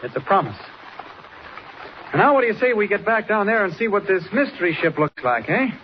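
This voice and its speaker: An actor playing a genial, confident newspaper reporter in a 1940s radio serial. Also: male voice, high voice, sing-song, fairly quick. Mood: assured. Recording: noisy, muffled old radio recording.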